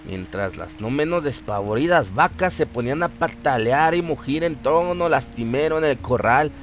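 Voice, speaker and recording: A man's voice: mid-range voice, lively intonation, moderate pace, slight background noise. A man reading a scripted horror story as its narrator. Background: music behind the voice.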